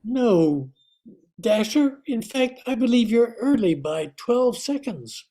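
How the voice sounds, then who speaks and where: A woman playing a patient, courteous lead reindeer with a polite, measured manner in an amateur radio play. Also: a little high, sing-song, mellow, heavily acted.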